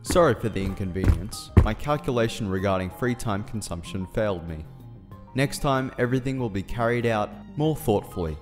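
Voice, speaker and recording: A man's voice: lowish voice, lively intonation, normal speed, music in the background, natural voice. A man playing an awkward, earnest scientist in a fan radio drama, speaking in a formal, wordy, bashful way. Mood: apologetic, formal. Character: awkward, earnest male scientist, shyly smitten. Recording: long hall reverb.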